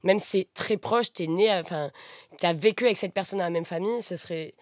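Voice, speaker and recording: A woman's voice: on the low side, fairly quick, no room sound, studio quality. A woman from southern France with a southern French accent, chatting animatedly as a podcast guest. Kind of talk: casual group chat.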